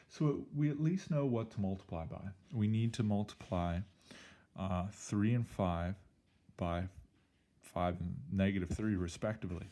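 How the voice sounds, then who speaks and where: A male math teacher, slightly hoarse, talking through an algebra problem in an upbeat, playful way with jokey exclamations. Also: sits low, dark tone. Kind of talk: recorded algebra lesson walkthrough.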